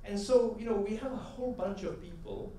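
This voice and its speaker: A middle-aged man tells stories to a convention crowd in a slightly raised, mellow voice. He sounds set back from the mic, and his words ring through a big, echoey hall over a faint murmur of room sound. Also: high voice, fairly quick, recorded from a distance, a little hiss or hum. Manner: conversational storyteller, often tags "right?"; builds to rhythmic, emphatic punchlines. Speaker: convention speaker. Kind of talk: motivational conference talk with anecdotes.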